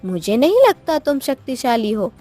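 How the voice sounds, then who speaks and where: Woman voicing a high-pitched, clever cartoon wife. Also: very animated, moderate pace, warm tone. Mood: defiant, taunting. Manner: taunting, coaxing. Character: clever, scheming, bold young wife. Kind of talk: cartoon moral story acting. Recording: music behind the voice.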